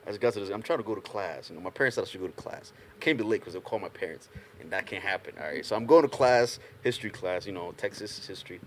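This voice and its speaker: A young man from Ghana, a panel guest telling animated stories in a casual group chat, often laughing as he talks. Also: fairly low pitch, fast talker, few pauses, a little hiss or hum. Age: young adult. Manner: animated storyteller, laughs through anecdotes.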